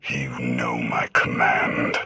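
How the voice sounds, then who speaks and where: An actor playing a cold, menacing tyrant in a video game, terse and commanding, with a harsh raspy voice. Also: male voice, a bass voice, level tone, takes it slowly, pushed and bright, faint music behind, exaggerated voice. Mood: cold anger. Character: cold, menacing male tyrant commanding knights to fight to the death.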